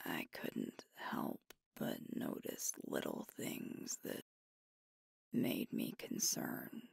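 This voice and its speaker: A woman voicing a sweet, devoted werewolf girlfriend in an ASMR roleplay, whispering tenderly and unhurriedly with a breathy voice close to the mic. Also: no echo. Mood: worried, earnest. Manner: tender, earnest, soothing, unhurried. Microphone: close microphone, dry.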